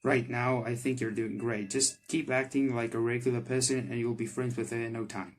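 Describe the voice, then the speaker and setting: A man's voice: medium pitch, fairly even intonation, fairly quick, close to an everyday voice. A man voicing a flustered, kind-hearted young male magician from a game, hesitant and apologetic, with music behind. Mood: apologetic, encouraging. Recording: background music under the voice.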